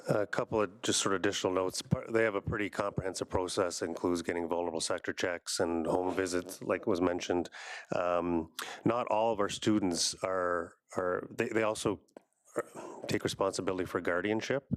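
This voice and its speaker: A man on school board staff explaining a program in a measured way, with a few restarts. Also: deep voice, steady tone, no room sound. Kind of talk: meeting answers.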